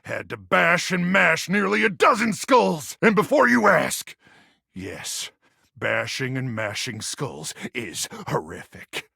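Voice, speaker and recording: An actor playing an earnest, unhinged muscle-bound post-apocalyptic warrior in a video game, gravelly and raspy, full of over-the-top military bravado. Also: male voice, fairly high pitch, wildly swooping pitch, slow pace, bright, forceful tone, no room sound, clean studio sound, full character voice. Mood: grave, devoted. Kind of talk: video game character acting.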